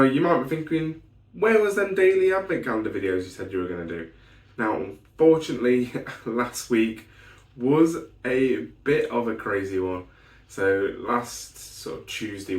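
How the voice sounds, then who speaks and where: A man's voice: on the low side, reverberant, recorded from a distance. A man reviewing beers in a casual, rambling way, his voice still recovering after an illness. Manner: rambling, lots of ums and restarts. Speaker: beer reviewer. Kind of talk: casual solo beer review.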